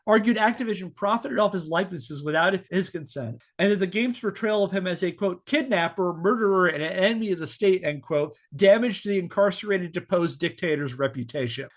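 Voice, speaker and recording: A man hosting a podcast, telling a researched story to friends in a partly scripted, measured way. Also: high-pitched. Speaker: podcast host.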